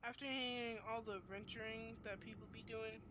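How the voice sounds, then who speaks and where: A woman's voice: fairly high pitch, fairly even intonation, fairly quick, noisy background. A woman giving casual, joking playthrough commentary as a game streamer, rambling and slangy. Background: game music.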